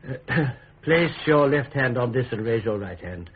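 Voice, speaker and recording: Male voice, formal fussy archbishop, slightly husky, radio play, narrow phone-like recording. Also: mid-range voice, animated. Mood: ceremonial, fussy. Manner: halting, ceremonial. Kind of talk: radio drama acting.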